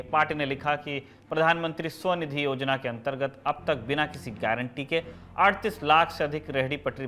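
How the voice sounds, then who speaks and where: A man's voice: medium pitch, fairly bright tone, slight background noise. A man presenting scripted news as the host of a show.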